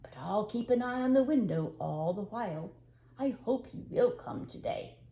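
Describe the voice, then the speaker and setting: Lady doing a sweet hopeful old man from a storybook, gentle n thoughtful, soft mellow tone, echoey room. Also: mid-range voice, animated, normal speed, creaky, a little hiss or hum, voice pushed up. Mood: cheerful. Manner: gentle, thoughtful musing to himself. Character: kindly old Russian widower, cheerful and hopeful. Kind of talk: storybook character voice.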